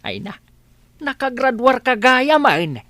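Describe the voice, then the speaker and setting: A woman's voice: sits high, very animated, unhurried, a bit bright, no echo, exaggerated voice. A woman with an Ilocano accent playing a proud, tender parent in a radio drama. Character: proud, tender parent congratulating a grown child.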